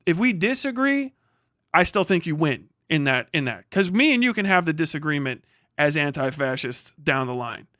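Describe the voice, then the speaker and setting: A man's voice: fairly high pitch, very animated, normal speed, fairly bright tone, no room sound, clean studio sound. A man hosting a livestream in casual chat, rambling along and swearing freely. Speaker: livestream host.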